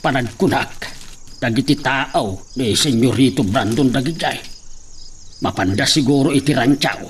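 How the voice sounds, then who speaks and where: A man's voice: medium pitch, unhurried, close to an everyday voice. Man acting Ilocano country father, faint background noise. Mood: explaining, cautious. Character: rural Ilocano father talking with his young daughter. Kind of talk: radio drama acting.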